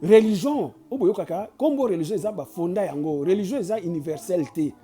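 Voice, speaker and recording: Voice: mid-range voice, animated, brisk, warm tone, faint music behind. A host from Kinshasa with a Congolese accent, giving an impassioned, preachy address that switches between Lingala and French and often stumbles and restarts mid-sentence. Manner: preachy and urging, with frequent restarts and stammers. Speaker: channel host.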